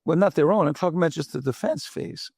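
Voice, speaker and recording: A man's voice: fairly high pitch, fast, vocal fry, darkish tone, husky, dry recording, clean studio sound. A man from the Bronx, hosting an interview podcast in a chatty way, often asking "right?" to draw agreement. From Bronx, New York. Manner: chatty, asks leading questions with frequent "right?". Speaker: podcast host. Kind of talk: conversational interview.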